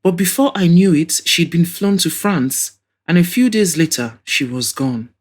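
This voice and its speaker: A woman's voice: fairly low pitch, moderate pace, breathy edge. A woman voicing an effusive, nostalgic older mother and hostess in an audio drama. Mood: sorrowful. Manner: effusive, exclaiming, reminiscing.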